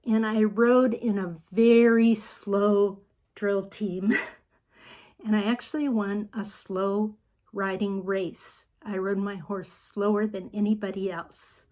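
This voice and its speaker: Slow-speaking older woman author. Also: medium pitch, steady tone, darkish tone. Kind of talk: casual video message.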